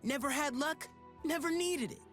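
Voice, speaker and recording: Carefree young game adventurer, high voice, breezy wisecracks, faint music behind. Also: female voice, normal speed, fairly bright tone. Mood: playful.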